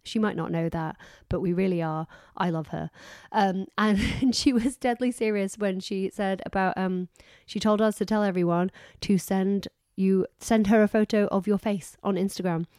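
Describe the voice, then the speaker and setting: A woman's voice: medium pitch, steady tone, normal speed, darkish tone, no room sound, clean studio sound. A woman co-hosting a podcast in casual, effusive, rambling chat while she is losing her voice. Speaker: podcast co-host.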